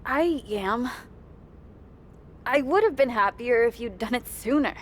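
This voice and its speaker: A woman's voice: on the high side, animated, a little slow, a little hiss or hum. An actress playing a teasing but caring female wrestling promoter and loyal friend in a video game cutscene. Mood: regretful.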